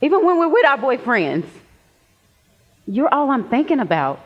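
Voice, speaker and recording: A woman's voice: sits high, big pitch swings, normal speed. A young woman acting in a stage play as an earnest, insistent teenage girl. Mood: earnest, nervous. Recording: dull lo-fi stage recording.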